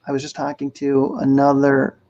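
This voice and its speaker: A man's voice: mid-range voice, monotone, moderate pace, warm tone. An American man co-hosting a casual livestream, easygoing and agreeable in the way he talks. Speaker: livestream co-host. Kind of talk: casual chat. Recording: slightly dull lo-fi stream audio.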